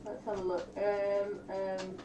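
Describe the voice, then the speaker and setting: A woman's voice: medium pitch, completely flat delivery, slow, dull audio, music in the background. A woman chatting casually and playfully as she takes part in an online game, speaking from a roomy space. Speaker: participant in a livestream game. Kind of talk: casual chat. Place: echoey room on an online call. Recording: roomy, distant microphone with clear noise.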